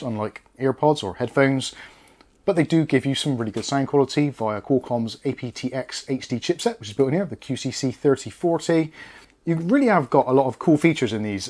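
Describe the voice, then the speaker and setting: A man's voice: medium pitch, darkish tone. A British man in his forties hosting a casual unboxing review, explaining things in a chatty, conversational way. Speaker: product reviewer, channel host. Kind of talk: casual scripted-outline product review.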